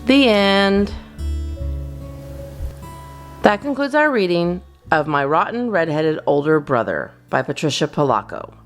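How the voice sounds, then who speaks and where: A woman host giving an expressive, theatrical reading of a children's story. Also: on the low side, measured pace, pauses often, soft background music.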